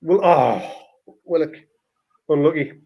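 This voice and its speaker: A man's voice: fairly high pitch, slow pace, creaky, dark tone, slightly rough. A man hosting a live football watchalong, giving casual, partisan commentary on play as it happens, with exclamations. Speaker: football watchalong podcast host.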